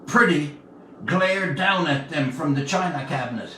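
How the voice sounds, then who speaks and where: A man in his seventies from Newfoundland, Canada, reads his own story aloud expressively, with deliberate dramatic pauses between phrases. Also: fairly high pitch, sharp tone. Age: 70s. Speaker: author reading own story. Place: indoor room, livestream reading. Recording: roomy, distant mic with faint noise.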